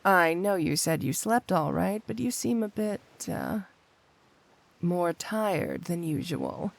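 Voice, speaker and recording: A woman's voice: lowish voice, big pitch swings, gravelly, exaggerated voice. A woman playing a flirty, possessive supervillain cat burglar wife in an intimate ASMR roleplay, coyly drawing out her endearments. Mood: concerned, probing. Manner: coy, drawn-out, purring endearments with pointed hums.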